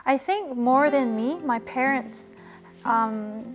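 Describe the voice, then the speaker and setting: Soft-spoken young woman, giggly. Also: high voice, takes it slowly. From New Zealand. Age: young adult. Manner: soft-spoken, giggly, hesitant with many fillers. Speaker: guest singer-songwriter. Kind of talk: casual interview chat.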